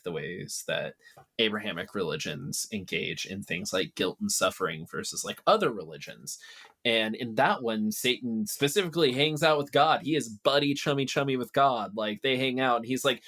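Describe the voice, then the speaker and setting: A man's voice: fairly low pitch, a bit bright. A man chatting casually and explaining ideas in informal, slangy language, acting out bits of dialogue. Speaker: podcast guest.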